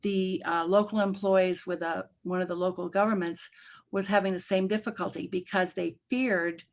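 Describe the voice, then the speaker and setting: A woman panelist on a video call, explaining in a measured way. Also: fairly low pitch, fairly even intonation. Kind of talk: explaining on a webinar.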